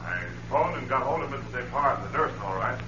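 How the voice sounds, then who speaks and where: Businesslike lieutenant, mid pitch, 1940s radio drama, distant muffled vintage recording. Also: male voice, lively intonation, brisk, a little hiss or hum. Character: businesslike police lieutenant, a subordinate reporting in. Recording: distant, echoey old radio, loud music.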